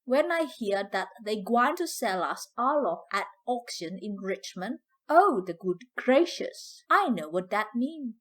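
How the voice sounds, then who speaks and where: A woman's voice: mid-range voice, sing-song, a little slow, few pauses, a bit bright. A woman playing an older former slave from the American South, proud, fiery and grieving, telling her story in folksy dialect. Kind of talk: dramatic first-person storytelling in dialect.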